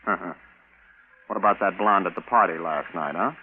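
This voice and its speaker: An actor playing a brash, wry bachelor rocket pilot and scientist in a 1950s radio drama, talking in a conversational way. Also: male voice, sits low, moderate pace, lightly acted. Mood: wry, scolding. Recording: tinny, noisy vintage radio broadcast.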